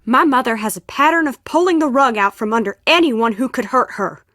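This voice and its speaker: A woman's voice: fairly high pitch, lively intonation, brisk, a bit bright, no room sound. Earnest, troubled young woman, dry recording. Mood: grave confiding. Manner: urgent, pleading. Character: earnest, troubled young woman confiding dark family secrets. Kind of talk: scripted game voice acting.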